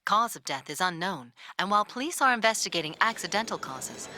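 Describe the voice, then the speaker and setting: Casting: serious female news anchor for a game, bright animated delivery, slight background noise. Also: medium pitch, a little fast. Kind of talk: scripted news reading.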